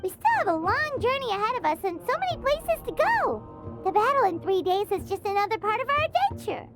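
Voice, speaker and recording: A tiny fairy sidekick with an extremely high, squeaky child's voice that bounces up and down. The little companion is earnest, loyal and excitable, in video game style, with loud music underneath. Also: female voice, brisk, full character voice. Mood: enthusiastic. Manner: speaks of herself in the third person, exclamatory. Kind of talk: video game character acting.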